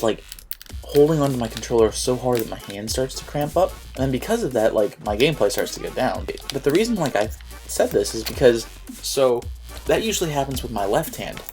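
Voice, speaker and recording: A young man talks through his gameplay with a cold that leaves him stuffed up. His voice sits at a mid pitch, and he rambles with mild annoyance, filling gaps with likes and ums. Faint game music plays underneath. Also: a little mellow. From Philadelphia area, United States. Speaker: gaming YouTuber. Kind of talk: casual gameplay commentary.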